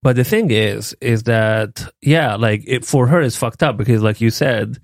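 A man co-hosting a podcast with a soft, playful way of talking in casual chat. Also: medium pitch, unhurried, dry recording, clean studio sound. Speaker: podcast co-host.

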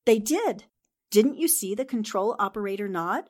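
A woman's voice: mid-range voice, lively intonation, normal speed, a little mellow, lightly acted. A woman playing a sensible, knowing 1940s newspaperwoman in a radio drama, composed and a little chiding.

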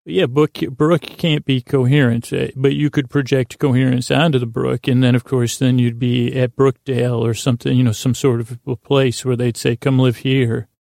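A man hosting a bedtime podcast in a creaky, soothing voice, wandering through halting tangents that circle back on themselves to lull listeners to sleep. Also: mid-range voice, level tone, a little mellow, no echo, studio quality. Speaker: podcast host. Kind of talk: rambling, meandering bedtime monologue meant to lull listeners to sleep.

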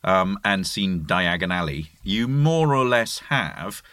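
Man, middle-aged, lowish voice, keen storyteller in casual chat, dry sound. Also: animated, slow pace, bright, forceful tone, no echo. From near York, England. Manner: eager agreeing, descriptive storyteller.

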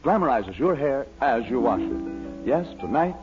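Casting: man for 1940s radio commercial, mid-pitched, animated pitch swings. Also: unhurried, over music. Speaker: commercial announcer. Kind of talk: scripted 1940s radio advertisement. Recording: dull old radio recording.

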